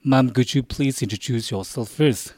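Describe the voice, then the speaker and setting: A male radio host conducts a live interview. He is courteous and formal, and he turns to greet his listeners directly. His voice sits at a middle pitch and is recorded dry and close. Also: normal speed, a little mellow, no room sound.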